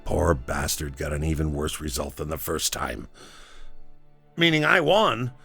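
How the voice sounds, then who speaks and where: A haunted labourer confessing a grim tale in an audio drama. His delivery is slow and halting, with a low, gravelly voice and theatrical intensity. Music plays behind him. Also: big pitch swings, pushed and bright, exaggerated voice. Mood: agitated, reeling. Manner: intense, halting, theatrical storytelling with wide emotional swings. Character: haunted male construction worker recounting a grim deadly gamble. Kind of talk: dramatic first-person audio drama monologue.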